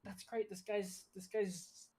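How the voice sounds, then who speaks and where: A man's voice: high-pitched, flat delivery, takes it slowly, a crowd in the background. A man co-hosting a game stream, chatting casually, rambling and laughing often. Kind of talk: casual chat while gaming.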